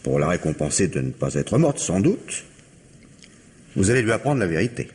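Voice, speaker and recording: A low, husky persuader. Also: male voice, sing-song, moderate pace, a little mellow, exaggerated voice. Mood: persuasive. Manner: insistent, coaxing. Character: calm, persuasive man pleading for mercy. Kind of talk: 1950s radio drama acting.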